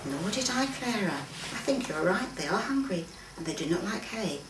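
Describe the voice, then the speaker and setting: A curious, musing gentleman father from a storybook, voiced by a woman reader. The phrasing swings with interest and questions, heard from a little way off in a roomy space. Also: mid-range voice, big pitch swings, moderate pace, natural voice. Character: thoughtful, curious father, a gentleman amazed by animals. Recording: roomy, distant mic, faint background noise.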